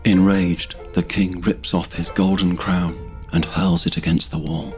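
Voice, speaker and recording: A man narrating a scripted fantasy audio drama in a measured, descriptive storytelling manner. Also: low-pitched, level tone, over music.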